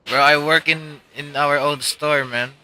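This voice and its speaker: A man's voice: on the high side, fairly even intonation, measured pace, pushed and bright, slight background noise, low-quality recording. A man chatting casually with friends while live streaming a video game, slangy and joking.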